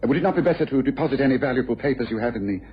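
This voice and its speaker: An actor playing a shrewd, commanding gentleman detective in a radio drama, speaking formally and incisively. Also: mid-range voice, close to an everyday voice. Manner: brisk, crisp, formal, incisive. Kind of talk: old-time radio drama acting. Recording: noisy muffled old radio recording.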